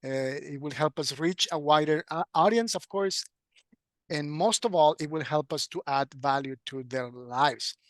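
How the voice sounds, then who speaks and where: Higher-voiced male podcast host, halting delivery. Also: dry recording, studio quality. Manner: halting, hesitant delivery with repeated words. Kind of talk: hosting an online interview.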